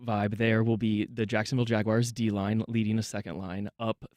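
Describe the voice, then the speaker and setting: Low-voiced man, chatty radio guest. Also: flat delivery, fairly quick, a little mellow, dry recording. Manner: conversational, frequent um and restarts. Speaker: guest, neighborhood nonprofit director. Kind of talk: radio interview.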